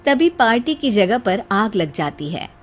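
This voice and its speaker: A woman reading scripted narration as the storyteller of an animated moral tale. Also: mid-range voice, measured pace, a little hiss or hum.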